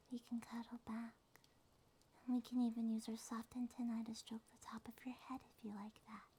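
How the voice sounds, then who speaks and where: A woman voicing a small, affectionate succubus monster girl in a soft, soothing, sleepy ASMR roleplay. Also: fairly high pitch, full character voice. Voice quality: soft, breathy, often whispered. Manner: slow, tender, soothing.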